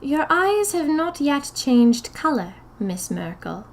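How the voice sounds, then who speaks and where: A woman's voice: high-pitched, big pitch swings, takes it slowly, pitched above the performer's own voice, exaggerated voice. A woman voicing a small, stern, schoolmistress-like leader of a sinister magical society in audio fiction, speaking in a commanding, formal, ceremonious way. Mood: cold, commanding.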